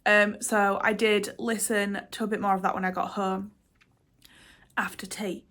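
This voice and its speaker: A woman's voice: mid-range voice, flat delivery, unhurried, a bit bright. Chatty woman with a cold. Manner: rambling, chatty, self-deprecating, with many "um"s and restarts. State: congested with a cold. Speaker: book vlogger. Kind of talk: casual chatty vlog to camera.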